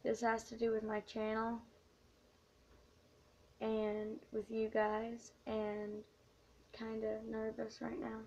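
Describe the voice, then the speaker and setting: Hushed hoarse teen girl, flat delivery. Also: fairly high pitch, highs cut off, a little hiss or hum. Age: teens. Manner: hushed, halting, many fillers. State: hoarse and quiet. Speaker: YouTuber. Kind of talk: late-night confessional vlog.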